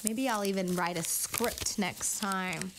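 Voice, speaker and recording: A woman who grew up speaking Spanish chats on her own vlog at a middle pitch. Her talk wanders, packed with fillers and restarts. A faint hiss sits under the voice. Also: takes it slowly. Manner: chatty and rambling, with many fillers. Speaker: vlogger hosting her own show. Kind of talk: casual rambling chat, reading old letters aloud.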